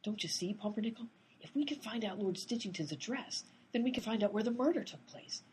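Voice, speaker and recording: Woman as literal-minded female sleuth, slightly raspy, swooping intonation, earnest, insistent, radio comedy. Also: medium pitch, animated, a little fast, darkish tone.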